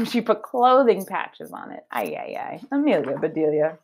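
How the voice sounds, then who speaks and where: A woman speaks as a teacher leading a read-aloud for children. Her pitch moves around in an animated way as she explains her thinking, and she keeps checking in with short questions. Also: measured pace, noisy background.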